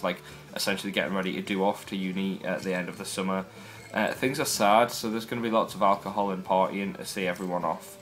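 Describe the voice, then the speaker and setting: Brief: young Geordie man, low-pitched voice, casual wry vlogger, faint music underneath. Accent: Newcastle Geordie. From North East England. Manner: chatty, wry, rambling asides. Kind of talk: casual first video blog.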